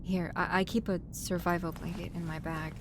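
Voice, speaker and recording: A woman playing a caring human medic on an alien spaceship in a roleplay audio drama, with a gentle, hesitant, fussing manner. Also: level tone, moderate pace, natural voice. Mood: tender concern. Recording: noisy audio with low rumble.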